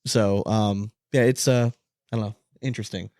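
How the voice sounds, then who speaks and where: A man's voice: lowish voice, steady tone, normal speed, darkish tone, dry recording, studio quality. A man co-hosting a podcast in casual, informal chat, loosely swearing here and there. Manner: informal, lightly profane. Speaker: podcast co-host.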